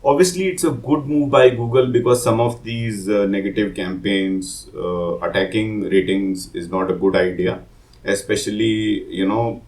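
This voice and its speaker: A man's voice: on the low side, steady tone, normal speed, a little mellow. Man with a head cold, reading tech news. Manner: loose and conversational, many uh and you know fillers. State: has a cold, voice not clear. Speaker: tech news podcast host. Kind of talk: daily news roundup with personal commentary.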